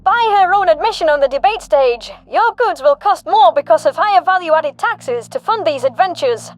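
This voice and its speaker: Woman as a very high-pitched accusatory ad announcer. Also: lively intonation, normal speed, soft background music. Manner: accusatory, rhetorical. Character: female alien political attack-ad narrator, indignant and pointed. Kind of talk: scripted political attack ad.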